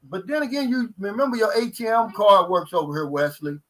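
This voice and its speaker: A middle-aged man hosts a live stream, chatting in a relaxed, folksy way. His pitch sits rather high for a man. He reads viewer questions aloud and answers them casually. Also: a little fast. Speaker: host.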